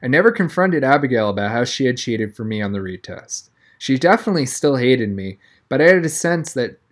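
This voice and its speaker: A reader narrating a first-person children's novel aloud in a conversational manner, as an audiobook. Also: mid-range voice.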